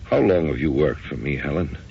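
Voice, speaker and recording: An actor in a radio drama playing a staid, matter-of-fact widowed businessman around sixty, brisk and slightly awkward. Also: a bass voice, sing-song, creaky, slight background noise, heavily acted. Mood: businesslike. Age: 60s. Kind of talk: old-time radio drama acting. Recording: muffled old radio broadcast recording.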